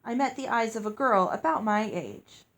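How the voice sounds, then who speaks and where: Female audiobook reader for a children's tale, lighter higher register. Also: lively intonation, normal speed, a bit bright. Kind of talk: storybook narration.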